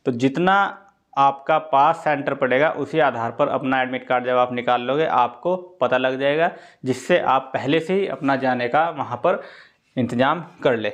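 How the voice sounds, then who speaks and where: A man's voice: mid-range voice, moderate pace. Man, instructive explainer. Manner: instructive, repeats key points, checks in often. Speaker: exam coaching presenter. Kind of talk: informal explainer addressed to students.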